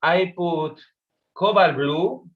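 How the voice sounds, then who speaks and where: A man teaching watercolor painting in a live online demo, speaking halting with many pauses, on a video call. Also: sits high. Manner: halting non-native English with many pauses. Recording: slightly dull lo-fi stream audio.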